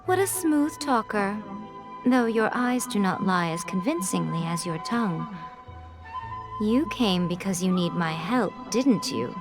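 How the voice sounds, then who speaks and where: A woman's voice: mid-range voice, expressive, measured pace. A woman playing a sly, poised, teasing shrine priestess in a video game, with coy and eloquent phrasing. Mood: teasing, amused. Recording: music playing behind.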